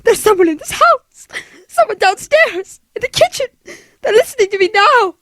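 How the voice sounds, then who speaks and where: An actress playing a frightened, demanding invalid wife alone at night in a radio thriller, with an imperious, nervous delivery. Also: female voice, extremely high pitch, big pitch swings, normal speed, a bit bright, dry recording, full character voice. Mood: alarmed. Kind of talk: old-time radio thriller acting.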